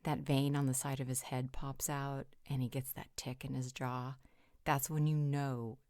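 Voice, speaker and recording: A woman's voice: extremely low pitch, level tone, unhurried, darkish tone, no room sound, clean studio sound. A woman playing a playful, caring member of a supernatural pack in a roleplay audio drama, teasing and reassuring. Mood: amused, knowing.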